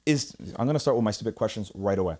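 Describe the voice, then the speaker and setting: A man's voice: on the low side, racing, dark tone. A man from Puerto Rico hosting a casual studio podcast interview, joking, cursing freely and often restarting his sentences. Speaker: podcast host. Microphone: studio mic.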